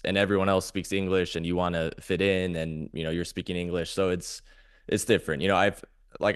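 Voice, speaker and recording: A man's voice: low voice, clear, resonant tone, no echo, studio quality. A man hosting a podcast, asking questions in an upbeat, chatty way. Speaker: podcast host. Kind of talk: casual interview.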